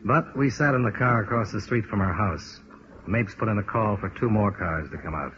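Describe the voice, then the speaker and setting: Man acting a hard-boiled American investigator, lowish voice, clipped, understated, old radio drama, muffled noisy recording. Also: normal speed, a bit bright, lightly acted. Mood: matter-of-fact narration. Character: dogged, terse male insurance investigator narrating his case.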